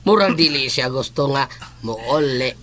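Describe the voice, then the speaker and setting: An actress playing a friendly, teasing neighbour in a radio drama. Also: female voice, rumbling low, expressive, measured pace, a little hiss or hum.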